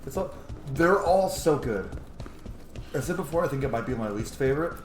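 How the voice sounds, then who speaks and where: A man's voice: mid-range voice, lively intonation, fairly quick. A man in his thirties giving casual, rambling, opinionated gaming commentary as a let's play host, swearing freely. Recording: echoey room, noisy game ambience. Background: video game ambience.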